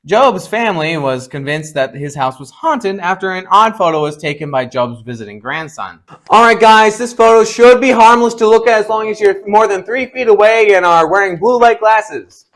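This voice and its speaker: Man narrating, slightly high-pitched voice. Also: fairly bright tone. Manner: addresses viewers informally with playful asides. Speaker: video essay narrator. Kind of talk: scripted explainer narration.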